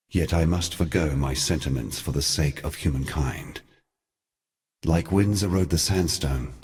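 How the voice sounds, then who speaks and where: A man voicing an ancient, sorrowful god in a fantasy game, speaking in a solemn, eloquent and theatrical way. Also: very deep voice. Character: ancient male god, grave, sorrowful, brooding mentor. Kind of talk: scripted fantasy game monologue.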